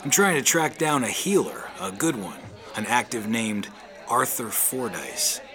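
A man playing a tough, sardonic ex-convict private eye in a hardboiled pulp audio drama, with deadpan delivery. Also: mid-range voice, unhurried, crowd noise. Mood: wry. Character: tough, wry ex-convict private detective with magic.

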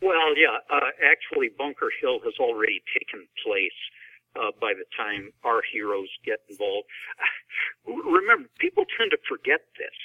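A man's voice: mid-range voice, huge pitch swings, normal speed, no echo. Man on a dry, phone-like line. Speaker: author guest discussing his novel. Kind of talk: casual discussion.

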